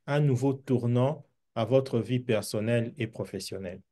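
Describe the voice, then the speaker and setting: Man, university official, mellow voice, explanatory, hesitant. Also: mid-range voice, moderate pace. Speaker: university official answering questions. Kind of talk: answering questions in an online meeting.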